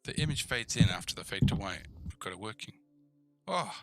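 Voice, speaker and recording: A man's voice: deep voice, lively intonation, a little fast, fairly bright tone. A man giving an informal screencast coding tutorial, thinking aloud and checking in with the viewer as he goes. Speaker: programming tutor.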